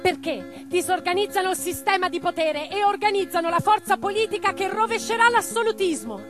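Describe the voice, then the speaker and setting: A high-pitched woman revolutionary speaks in an intense, theatrical radio-play monologue. She declaims her letters and convictions with dramatic weight, over plucked guitar music. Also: fairly quick. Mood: fiery, oratorical. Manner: declamatory, dramatic, swinging from hushed to forceful. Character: impassioned female socialist revolutionary, intense, reflective letter-writer.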